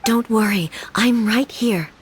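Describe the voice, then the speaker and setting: Composed, stately shogun. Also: female voice, on the high side, a little hiss or hum. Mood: soothing. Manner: measured, formal, composed. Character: calm, stately female shogun, adult, composed and formal. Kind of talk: video game voice acting. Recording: game music behind.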